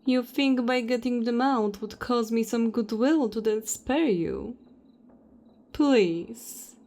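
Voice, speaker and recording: Woman acting slow, mellow, contemptuous vampire hunter. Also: on the high side. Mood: cold contempt. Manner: taunting, contemptuous, unhurried. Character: cold, self-righteous female vampire hunter. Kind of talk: audio roleplay acting.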